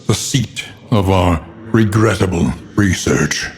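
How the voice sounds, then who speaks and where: A man's voice: low voice, slow pace, soft background music. An actor playing a grave, world-weary older male narrator of a gothic horror game, solemn, ominous and florid in his theatrical delivery. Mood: solemn, resolute. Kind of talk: theatrical scripted dark fantasy narration. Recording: long cavernous reverb.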